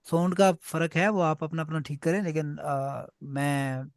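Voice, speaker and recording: A man's voice: on the high side, steady tone, unhurried, dry recording, studio quality. Punjabi-speaking man teaching a class. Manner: patient, repeats "ठीक है" often. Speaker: German teacher. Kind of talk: online class teaching in Hindi-Urdu.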